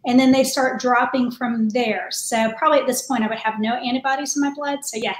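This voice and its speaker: Woman, forties, casual co-host, chatty, high voice, echoey home room, webcam sound. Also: fairly even intonation, fairly quick. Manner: chatty, laughs things off. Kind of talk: casual video chat. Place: home room with tiled wall. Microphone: video call webcam.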